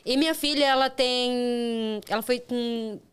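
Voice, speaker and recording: A woman's voice: on the high side, fairly even intonation, a little slow, smooth. A middle-aged Brazilian woman with a Brazilian Portuguese accent, hosting a podcast and telling a personal story earnestly, with frequent restarts. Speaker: podcast host. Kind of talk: casual interview chat.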